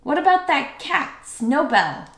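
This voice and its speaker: A woman's voice: sits high, big pitch swings, normal speed, a bit bright, roomy sound, pitched above the performer's own voice, full character voice. A woman voicing a small, earnest, polite boy mouse in an eager storybook manner. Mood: suspicious.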